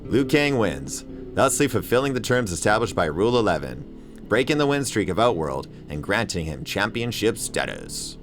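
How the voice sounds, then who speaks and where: A man's voice: lowish voice, big pitch swings, moderate pace, music in the background. A man hosting a movie review video, giving comedic commentary in a wry, mock-exasperated way with chuckles.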